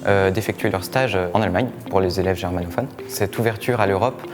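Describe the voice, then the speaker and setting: A man giving a halting interview testimony about his school years, full of pauses and fillers. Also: low-pitched. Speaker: former student giving testimony. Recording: music bed under voice.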